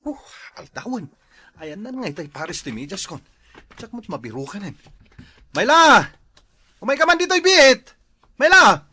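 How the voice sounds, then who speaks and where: A man's voice: high voice, sing-song, unhurried, pauses often, sharp tone, breathy edge, heavily acted. A man acting a helpless, whiny, grumbling husband in an Ilocano radio drama, with an Ilocano accent. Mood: impatient. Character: helpless, grumbling husband always late for work.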